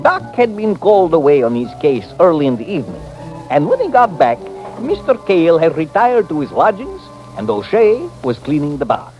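An actor playing a folksy storyteller who narrates an old-time radio western. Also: male voice, a little high, wildly swooping pitch, normal speed. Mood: wry, reminiscing. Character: folksy male storyteller narrating a frontier tale. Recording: dull vintage radio recording. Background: music.

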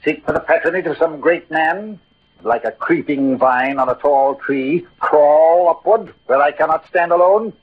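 A proud and witty swordsman who is also a lovesick poet. His speech is declamatory and eloquent in classic radio drama style. The voice is very rough and husky, heard through a narrow, phone-like vintage recording. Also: fairly high pitch. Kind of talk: 1940s-50s theatrical radio drama acting.

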